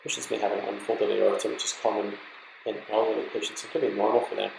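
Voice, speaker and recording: A man lectures in a low voice with plenty of creak at the edges. He explains things step by step in an informal, instructive way, as if teaching trainee doctors from slides. The recording is roomy and echoey. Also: flat delivery, fast talker, darkish tone, slight background noise. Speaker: medical lecturer.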